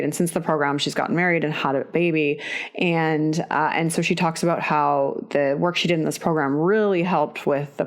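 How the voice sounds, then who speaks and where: A woman with a low voice introduces the episode's guests. She summarizes their stories in an even, steady way. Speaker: podcast host.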